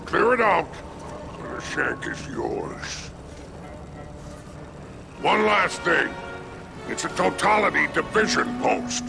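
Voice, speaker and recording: Imperious gravelly alien crime boss in a game, swoops all over, slow and florid, music behind. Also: male voice, fairly high pitch, full character voice. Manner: grandiose, florid, menacing. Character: imperious, theatrical alien crime lord commanding retainers. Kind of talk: video game cutscene acting.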